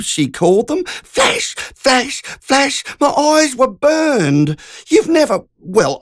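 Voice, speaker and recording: Brief: narrator putting on a country woman, high and breathless, words rushing in a lively sing-song. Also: dry recording, clean studio sound. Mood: vivid recounting. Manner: breathless, urgent storytelling. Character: shaken older country woman telling a story.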